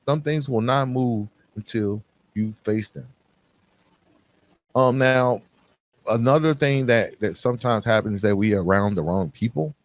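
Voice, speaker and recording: A man's voice: medium pitch, measured pace. A man hosting a motivational podcast, talking in an earnest, direct and conversational way with emphatic repetition. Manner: conversational, colloquial. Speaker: podcast host.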